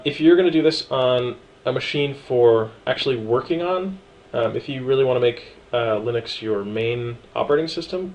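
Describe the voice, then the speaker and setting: A man casually talking through a software setup tutorial, relaxed and chatty with frequent ums and small asides. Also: mid-range voice, rough recording. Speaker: tutorial presenter. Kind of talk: screen-recorded software walkthrough.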